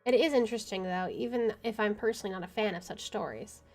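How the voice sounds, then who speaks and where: A woman's voice: medium pitch, fast, a little mellow, soft background music. A woman streaming a video game, reading its story narration aloud and adding casual asides.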